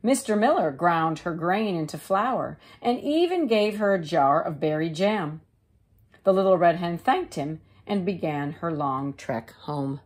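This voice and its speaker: A woman teacher reading a children's storybook aloud in a measured, expressive way. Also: on the low side. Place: living room couch, indoors.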